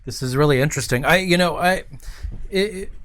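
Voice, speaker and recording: A man hosting a casual livestream chat, informal and somewhat halting as he thinks aloud. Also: a little high, fairly bright tone. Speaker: show host.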